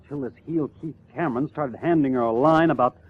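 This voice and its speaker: Man playing husky, lovesick radio-drama suspect. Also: medium pitch, quick pace, lightly acted. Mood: earnest pleading. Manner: earnest, defensive. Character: lovesick, anxious young male murder suspect. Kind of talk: 1950s radio crime drama acting. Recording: tinny, phone-like old radio recording.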